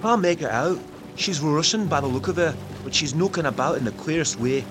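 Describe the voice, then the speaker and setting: An actor playing an observant, plain-spoken seaside coast guard in a period audio drama, matter-of-fact and knowing. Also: male voice, on the high side, animated, brisk, over music, close to an everyday voice. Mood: wary, knowing.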